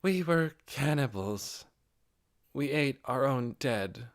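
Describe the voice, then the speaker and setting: A man voicing a bitter, guarded female lizard-person leader in a fantasy audiobook, speaking with soft, hesitant emotion. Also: medium pitch, expressive, slow, voice pushed up. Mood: bitter, pained.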